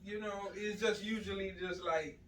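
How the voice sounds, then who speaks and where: A man's voice: high voice, steady tone, moderate pace, a bit bright, slight background noise. A young man, a podcast guest talking in a laid-back, rambling way with lots of fillers. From Jamaica. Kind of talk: casual interview chat. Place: echoey podcast room. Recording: echoey room, distant mic.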